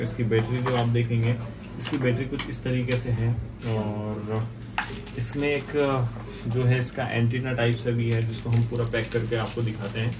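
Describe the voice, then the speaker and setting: A man in his thirties with an Indian accent, giving a casual unboxing and review in a halting, repetitive explaining manner. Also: mid-range voice. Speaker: video host reviewing products. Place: small indoor office. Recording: distant mic, clearly noisy room ambience.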